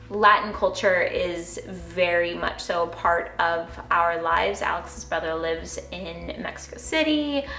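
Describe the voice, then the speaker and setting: A young-sounding woman chats to her viewers in an easy, gushing way and laughs softly between thoughts. Her voice sits at an ordinary middle pitch. The room adds a noticeable echo, and faint music plays underneath. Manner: gushing and bubbly, with soft giggles. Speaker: vlogger. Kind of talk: casual chat to camera.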